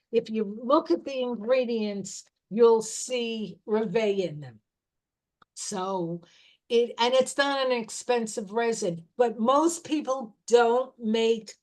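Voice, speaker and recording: A middle-aged woman talking informally about herbal remedies, explaining slowly and restarting her sentences as she goes. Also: a little high, darkish tone. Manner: slow, unhurried explaining with restarts. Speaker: herbal educator.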